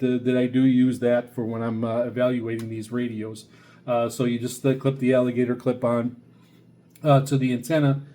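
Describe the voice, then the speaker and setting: A man giving a casual, unscripted product review as a vlogger, in a halting, rambling way with repeated words. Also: mid-range voice, dark tone.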